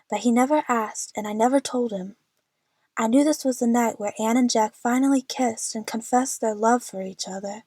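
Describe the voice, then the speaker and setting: Woman as lovestruck, nervous young female narrator for audio drama; higher-pitched, earnest, very dry sound. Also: a little mellow, dry recording, natural voice. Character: young female movie fan, anxious, lovestruck, narrating her adventure.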